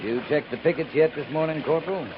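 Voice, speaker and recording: An actor playing a dry, calm, commanding cavalry captain in a 1950s radio western, terse and understated. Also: male voice, a little high, fast talker, natural voice. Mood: dry, musing. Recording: muffled, hissy 1950s radio recording.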